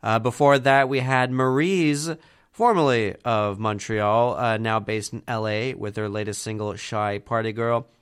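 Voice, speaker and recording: A man's voice: on the low side, steady tone, a little slow, clear, resonant tone. A man hosting a community radio music show, talking with a head cold that makes him sound nasal and rambling with many fillers. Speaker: radio host.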